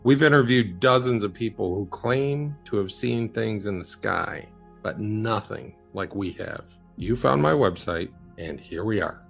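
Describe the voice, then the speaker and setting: A man playing a haunted, earnest UFO witness in an audio drama, telling his story haltingly. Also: on the low side, expressive, vocal fry, a bit bright, soft background music, full character voice.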